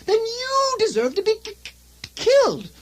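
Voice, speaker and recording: A woman playing a panicky, excitable nobleman with a heavy stutter in a comic radio play. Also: extremely high pitch, full character voice. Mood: agitated. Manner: hysterical, overwrought, halting. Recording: faint noise on old radio recording.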